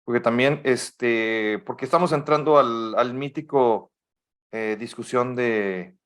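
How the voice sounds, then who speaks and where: A man's voice: mid-range voice, takes it slowly, a bit bright. A Mexican man co-hosting a casual podcast, given to joking asides and careful corrections of his colleagues. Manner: jokey interjections, cautious corrections. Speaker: podcast co-host. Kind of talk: casual panel discussion.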